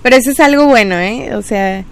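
A woman hosts a relaxed radio interview, talking in a chatty, encouraging way and filling her pauses with little sounds. Her voice sits a bit high, and she speaks into a studio mic. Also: creaky. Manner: chatty, encouraging, many filler words. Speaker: radio and social media host. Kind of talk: casual interview.

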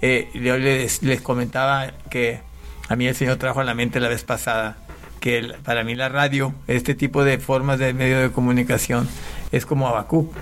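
A man from Panama, a pastor and guest, telling his faith story in conversation, halting with frequent restarts. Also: mid-range voice, level tone, fairly bright tone. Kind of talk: conversational testimony.

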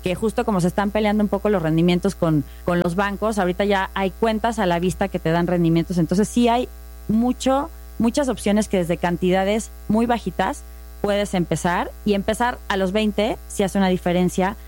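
A woman with a Mexican accent talks through investing in a relaxed, methodical way. She lays out each example patiently and clearly. Faint music and a mains hum sit under her voice. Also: mid-range voice, moderate pace. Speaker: finance guest. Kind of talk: casual explanatory chat.

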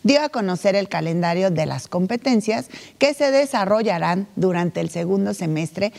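Female news anchor, gravelly voice, relaxed informal presenting. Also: mid-range voice, normal speed.

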